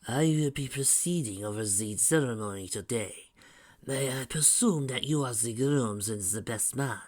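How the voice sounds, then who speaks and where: A man voicing a solemn, secretive lynx priest with a quiet, breathy voice and a French-style accent. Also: medium pitch, normal speed, close to an everyday voice.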